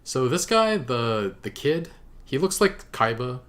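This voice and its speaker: A man hosting a reaction video, giving casual commentary in a halting way as he thinks aloud. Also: mid-range voice, very animated, fairly bright tone.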